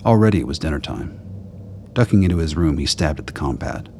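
An extremely deep male voice with faint background noise delivers scripted narration. He is the storyteller of a radio play. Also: expressive, quick pace, dark tone.

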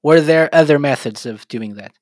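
A man from Portugal hosting an interview, speaking hesitantly with frequent pauses and restarts. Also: on the high side, darkish tone, dry recording. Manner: hesitant, many "uh" fillers and restarts. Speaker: podcast host. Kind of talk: casual interview chat.

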